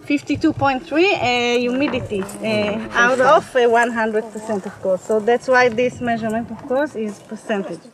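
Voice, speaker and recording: A woman's voice: a little high, normal speed, few pauses, rough recording. A woman explaining field monitoring outdoors, hesitating with many fillers. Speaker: field educator.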